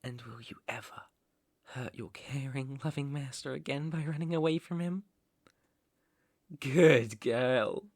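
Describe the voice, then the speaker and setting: A gravelly British mafia boss, acted by a man for an ASMR roleplay, close to the mic in a very dry space. He is possessive and unstable, speaking with brooding, condescending affection and a creaky edge. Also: on the high side, animated, slow pace, no echo, heavily acted. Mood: controlling, tender. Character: possessive, unstable male mafia boss, menacingly tender ex-lover. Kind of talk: ASMR roleplay, dramatic monologue.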